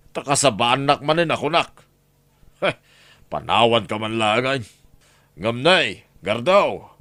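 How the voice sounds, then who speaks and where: A man's voice: medium pitch, fairly bright tone, no echo, studio quality. An actor playing a greedy, scheming middle-aged man in an audio drama, talking in a sly, scoffing way. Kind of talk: radio drama acting.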